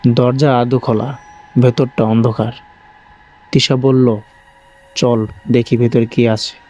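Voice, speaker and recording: Male horror-story narrator, mellow low-brightness tone, scripted first-person delivery, light music bed. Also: lo-fi sound. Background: faint music.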